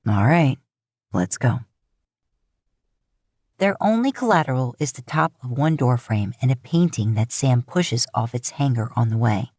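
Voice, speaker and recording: Low-voiced woman narrating a story. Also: animated, moderate pace, hardly any pauses, a little mellow, dry recording, clean studio sound. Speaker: podfic narrator.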